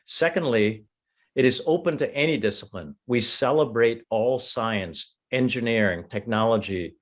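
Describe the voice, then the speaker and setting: A man from Vietnam giving a measured, explanatory webinar presentation as a council member, stressing his key points. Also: mid-range voice.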